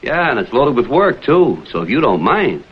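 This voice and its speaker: An actor playing a wry, laconic private detective in a vintage screen drama. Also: male voice, mid-range voice, very animated, moderate pace, breathy edge. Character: wry, cool private detective, adult man. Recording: dull vintage TV soundtrack, background music.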